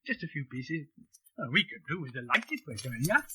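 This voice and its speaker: An actor playing a genial, nostalgic old junk-shop keeper in a radio drama, rambling and doddering. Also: male voice, a little high, sing-song, fairly quick, noisy background. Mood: chatty, wistful. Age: older.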